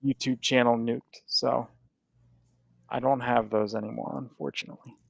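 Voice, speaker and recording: Podcast host, male, gravelly and a bit high, rambling and swearing, dry close mic. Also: level tone, moderate pace, no echo. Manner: rambling, profane, restarts often. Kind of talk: casual chat.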